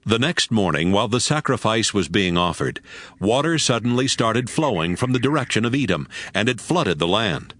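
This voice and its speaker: Low, expressive male Bible narrator. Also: normal speed. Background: music.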